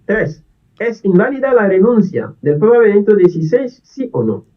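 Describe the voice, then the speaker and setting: A priest who is not a native Spanish speaker, making an earnest, pleading video appeal to the faithful and asking questions over and over. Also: male voice, high-pitched, very animated, normal speed. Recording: distant dull lo-fi video audio.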